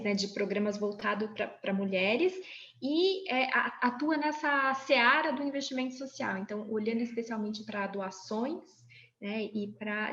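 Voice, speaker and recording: A woman's voice: on the high side, fairly bright tone, echoey room, highs cut off. A woman hosting an online panel, introducing a guest, hesitant and repeating words. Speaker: host. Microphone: video call.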